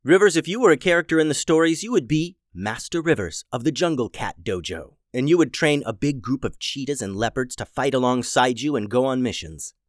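A man's voice: medium pitch, animated, a little fast, few pauses, no echo, clean studio sound. Playful man with a scratchy voice. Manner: playful and silly. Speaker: podcast host and storyteller. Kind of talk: kids' story narration.

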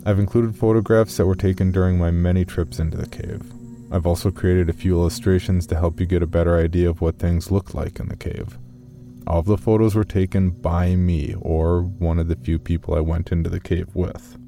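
A man playing an earnest, guarded amateur caver, narrating his journal in a measured, matter-of-fact way for an audio horror drama. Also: deep voice, fairly even intonation, mellow, faint music behind. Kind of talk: first-person scripted horror narration.

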